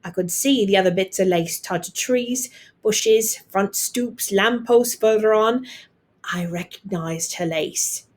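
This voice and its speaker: A woman's voice: mid-range voice, slow pace, close to an everyday voice. A woman voicing a fond Irish father, a theatrical storybook storyteller with a lilting manner and an Irish accent. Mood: fond storytelling. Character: Irish father, warm grand storyteller, wistful romantic.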